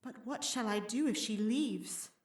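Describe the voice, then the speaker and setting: A woman's voice: on the high side, measured pace, slightly rough, no echo, clean studio sound. A woman voicing an anxious, skeptical but loyal young Tudor woman in an audio play, pleading in old-fashioned speech. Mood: sad, pensive.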